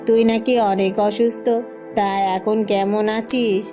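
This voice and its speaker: A woman's voice: mid-range voice, little pitch movement, measured pace, close to an everyday voice. A woman voicing a kindly village aunt in a cartoon, familiar and concerned, with music behind her. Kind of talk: Bengali cartoon dubbing.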